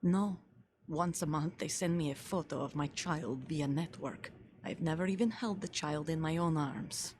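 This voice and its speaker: A woman's voice: low-pitched, normal speed, a little mellow, slight background noise, lightly acted. An actress playing a guarded female soldier and grieving mother in a video game, grave, terse and commanding. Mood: grave, sorrowful. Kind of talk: dramatic video game voice acting.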